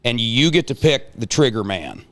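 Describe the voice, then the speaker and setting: A man's voice: mid-range voice, very animated, moderate pace, a bit bright. A man hosting a casual chat, playfully prompting and hyping up the moment in a mock-dramatic way. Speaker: host.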